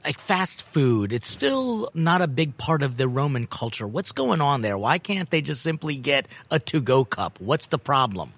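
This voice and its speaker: A man's voice: mid-range voice, sing-song, moderate pace, few pauses, a bit bright. A man hosting a podcast, playful and teasing, often turning to explain words to his audience. He talks from a table outside a cafe while scooters pass by. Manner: playful, explains terms to listeners. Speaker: podcast host. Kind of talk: casual interview hosting. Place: outside a cafe with street traffic.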